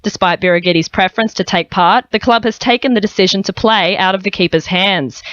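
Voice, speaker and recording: A woman's voice: medium pitch, fairly quick, fairly bright tone, no room sound, muffled recording. A woman with an Australian accent reading scripted news as a radio newsreader.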